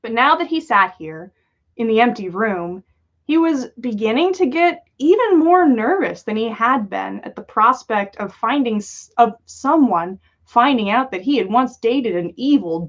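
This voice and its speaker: Expressive woman storyteller with lively, swooping pitch. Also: moderate pace, dull audio. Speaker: podcast host reading listener-written fan fiction. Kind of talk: animated storytelling read aloud on a livestream.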